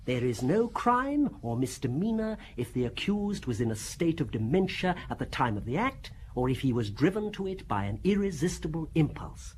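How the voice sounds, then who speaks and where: An actor playing a courteous, obsessive middle-aged gentleman of the law in an audio drama, talking in an eager, effusive, theatrical way. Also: on the high side, big pitch swings, normal speed, hardly any pauses, a little hiss or hum. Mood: effusive, fervent.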